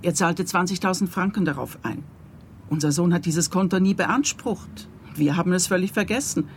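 A woman's voice: fairly low pitch, normal speed, natural voice. A composed middle-aged mother played by a woman in a radio play, speaking. She is reserved and polite, choosing her words with care over faint background noise. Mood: hesitant, hopeful.

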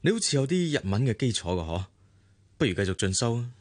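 An actor playing a wealthy businessman boss in a radio drama, calm and coolly dismissive, with a Hong Kong Cantonese accent. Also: medium pitch, fast talker.

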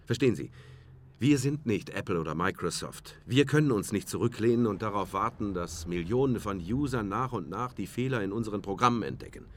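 A man's voice: lowish voice, animated, fairly quick, a little hiss or hum, lightly acted. A man playing a self-assured, wry company boss in a naturalistic radio drama, articulate and somewhat formal. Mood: earnest, bitter.

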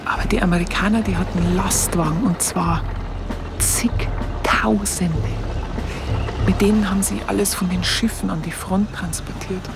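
A woman's voice: mid-range voice, fairly even intonation, moderate pace, gravelly, over music, lightly acted. An actress playing an earnest German woman recalling wartime stories in a radio drama. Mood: wondering.

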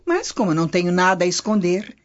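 Woman acting a haughty, low-voiced Roman noblewoman. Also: sing-song, a little fast. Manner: imperious, formal. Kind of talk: radio drama acting. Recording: dull lo-fi audio.

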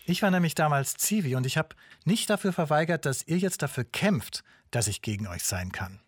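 An actor playing an earnest former conscientious objector in a radio drama, making a pointed appeal on air. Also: male voice, fairly high pitch, expressive, fairly quick, soft background music, lightly acted. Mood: wry, indignant.

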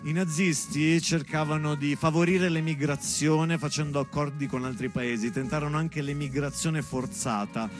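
Guy doing a stage monologue, bit high pitched, storytelling with asides, music behind. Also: fairly even intonation, normal speed, hardly any pauses. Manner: storytelling, conversational asides, dramatic emphasis. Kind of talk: theatre monologue addressed to his daughter.

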